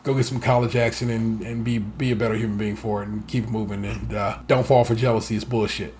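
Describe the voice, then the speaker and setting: A man's voice: on the low side, steady tone, fast talker, darkish tone, slight background noise. A middle-aged man hosting a podcast in loose, profane casual chat, full of restarts and laughs. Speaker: podcast host.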